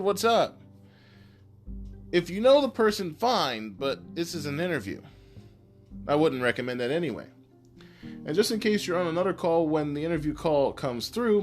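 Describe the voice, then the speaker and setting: Man giving podcast advice over guitar music. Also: fairly high pitch. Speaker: podcast host. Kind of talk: informal advice podcast, semi-scripted.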